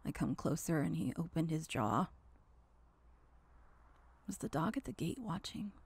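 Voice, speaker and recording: A woman delivers a dramatic horror narration in the first person. Her voice sits fairly low and creaks with vocal fry. She takes her time, letting short, intense fragments hang, over a slightly noisy recording. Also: lively intonation, a little slow, darkish tone, dry recording. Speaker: storyteller.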